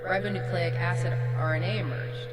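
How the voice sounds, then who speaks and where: A woman's voice: lowish voice, steady tone, brisk. A woman giving conversational podcast commentary, explaining emphatically in short phrases. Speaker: co-host of an AI-made commentary podcast. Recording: very echoey, long reverb, loud music. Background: loud meditative music with singing bowls.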